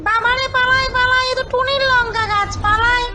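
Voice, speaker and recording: A woman's voice: extremely high pitch, steady tone, brisk. A woman voicing a cheeky, cowardly little thief in a children's bird cartoon. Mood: panicked. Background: music.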